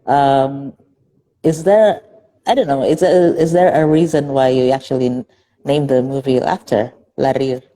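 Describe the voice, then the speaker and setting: A woman's voice: extremely low pitch, a little slow, mellow. A woman from Indonesia, a festival director, interviewing a filmmaker over a video call in a chatty way, often laughing and correcting herself. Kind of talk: casual online interview.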